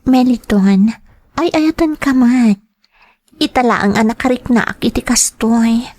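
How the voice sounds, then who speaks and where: A woman's voice: on the high side, a little slow, a little mellow, voice pushed up. A woman voicing a clingy, demanding young mistress with a pleading, wheedling manner in a melodramatic audio drama. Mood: pleading, tender.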